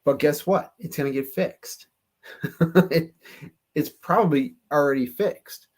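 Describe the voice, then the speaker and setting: A man's voice: a little high, measured pace, darkish tone. A man co-hosting a casual podcast chat, laid-back, often laughing and repeating his words. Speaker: podcast co-host.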